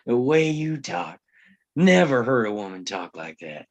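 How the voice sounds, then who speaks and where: An actor playing a guarded, gruff Old West lawman in a table read, speaking tersely and grudgingly with some hesitation. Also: mid-range voice, very animated, measured pace. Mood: curious. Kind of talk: live table read acting of a western screenplay.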